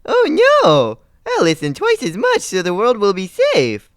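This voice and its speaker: A woman voicing a naive, childlike, excitable comedy podcast sidekick who talks in guileless, literal-minded questions. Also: medium pitch, measured pace, no room sound, clean studio sound. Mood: excited. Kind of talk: comic character banter on a podcast.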